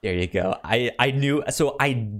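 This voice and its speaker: A man co-hosting a podcast in casual chat, reading out pitches and often stammering over words as he reads aloud. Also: animated, moderate pace, a bit bright. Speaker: podcast co-host.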